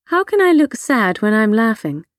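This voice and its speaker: A woman's voice: on the high side, big pitch swings, normal speed, no echo. A woman playing a guarded, sardonic adult in an audiobook, terse and impatient with children. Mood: sardonic, awkward. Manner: dry, terse, sarcastic. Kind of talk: audiobook character dialogue.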